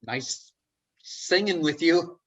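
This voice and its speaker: A man playing an awkward, wry, nervously hopeful office worker in a comedic play reading, speaking haltingly. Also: a little high, a bit bright, lo-fi sound.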